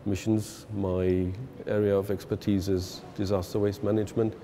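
A man's voice: lowish voice, flat delivery, normal speed, dark tone, slight background noise. A middle-aged man with a German accent, a geologist answering in an outdoor interview. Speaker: geologist, waste management expert. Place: outdoors, overlooking water.

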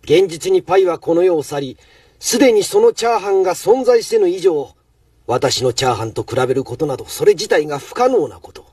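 A man playing an arrogant, villainous master chef in an anime, husky and haughty, formal but full of contempt. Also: sits high, expressive, brisk, darkish tone, no echo, studio quality, recorded from a distance. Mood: scornful. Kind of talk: Japanese anime acting.

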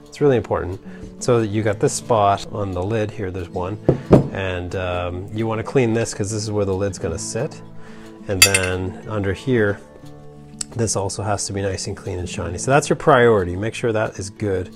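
A man's voice: low voice, moderate pace. A man in his thirties casually explaining a boat part repair in his vlog, with plenty of "uh" and "like". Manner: relaxed, explanatory, frequent "uh" and "like". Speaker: sailing vlogger. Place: basement workshop, indoors. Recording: music playing underneath.

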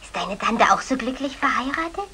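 An actress playing a lovestruck, chatty young housemaid, eager and coaxing, in a vintage German radio comedy. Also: female voice, fairly high pitch, lively intonation, brisk, bright, forceful tone, breathy edge, heavily acted. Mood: wistful, chatty. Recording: faintly noisy 1960 radio recording.

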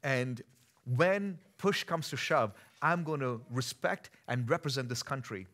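A man in his thirties from India with an Indian accent, preaching a sermon on a church stage, moving between easy conversation and emphatic, forceful delivery. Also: medium pitch, measured pace. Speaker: pastor.

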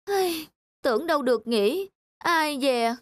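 A woman's voice: high-pitched, expressive, slow, noisy background. A woman voicing a sly, weary young male photographer in a cartoon, hesitant and grumbling. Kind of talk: cartoon dub.

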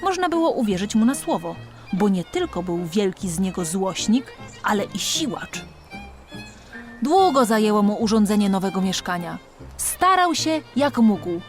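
A woman's voice: mid-range voice, animated, moderate pace, soft background music. A woman reading a children's storybook as an audiobook narrator, expressively and with dramatic emphasis.

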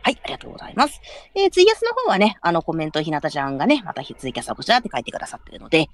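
A woman's voice: low-pitched, wildly swooping pitch, rapid-fire. A woman hosting a livestream, making polite announcements in courteous, formal phrasing. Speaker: host and theatre announcer.

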